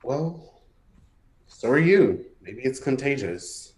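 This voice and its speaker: Actor playing edgy caring man, echoey room, unhurried, hesitant clipped phrases, play reading. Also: medium pitch, big pitch swings, a little mellow. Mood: defensive. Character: guarded, edgy man, tense but caring. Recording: roomy, echoey sound.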